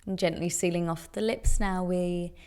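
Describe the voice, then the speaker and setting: A woman leading a guided meditation with gentle, deliberate instruction in long, unhurried phrases. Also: on the low side, steady tone, clear, resonant tone.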